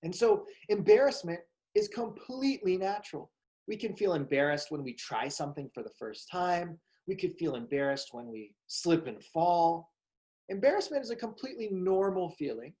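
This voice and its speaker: A man hosting a psychology show for kids, speaking in a slow, calm, encouraging way. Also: high voice. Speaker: show host. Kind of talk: gentle talk to children.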